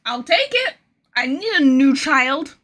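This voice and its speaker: A woman livestreaming a video game, chatting casually to viewers in an excitable, rambling manner. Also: high voice, unhurried. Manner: excitable, rambling, swears freely. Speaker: game streamer. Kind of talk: casual live gaming commentary, chatting to viewers.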